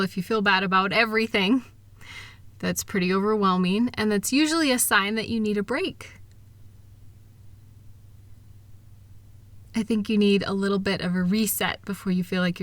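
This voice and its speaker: Woman acting as a bright-voiced, reassuring, loving wife. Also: medium pitch, no room sound, lightly acted. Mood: gentle, patient concern. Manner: reassuring, gentle, teasing, affectionate. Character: kind, upbeat, capable wife soothing her insecure partner. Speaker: audio roleplay performer. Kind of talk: intimate comfort audio roleplay.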